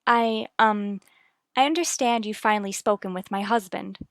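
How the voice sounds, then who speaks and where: A woman's voice: medium pitch, moderate pace, a bit bright, no room sound, close to an everyday voice. An actress playing an anxious but determined young married mother in a vintage radio soap, speaking formally and politely in a dry recording. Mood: pleading, insistent.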